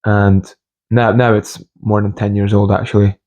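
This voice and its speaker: Motivational host, young male, fairly low pitch. Informal, personal storytelling with halting delivery and emphatic repetition. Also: little pitch movement, a little mellow, muffled recording. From Scotland and Hungary. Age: young adult. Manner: halting, repeats phrases for emphasis.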